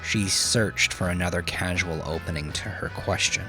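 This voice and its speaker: Low-pitched man narrating crime fiction over music. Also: normal speed. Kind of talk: scripted dramatic audiobook narration.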